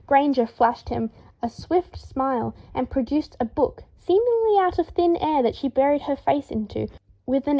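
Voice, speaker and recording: A woman reading fiction aloud as an expressive audiobook narrator, in a slightly dull lo-fi recording. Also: sits high. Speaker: fan fiction audiobook reader.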